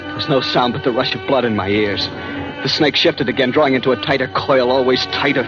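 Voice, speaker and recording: An actor playing a haunted young adventurer in an old radio thriller, with dramatic, suspenseful, deliberate delivery. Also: male voice, mid-range voice, fairly even intonation, lightly acted. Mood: mounting dread. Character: haunted, guilt-ridden young adventurer narrating his terror at sea. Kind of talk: 1940s-50s radio drama narration and acting. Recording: dull vintage radio recording with prominent music.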